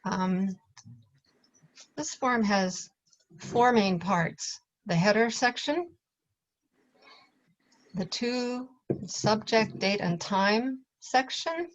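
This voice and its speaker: Lady teaching a class, medium pitch, explains stuff step by step pretty informally, dull lofi audio. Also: very slow, long pauses. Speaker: instructor.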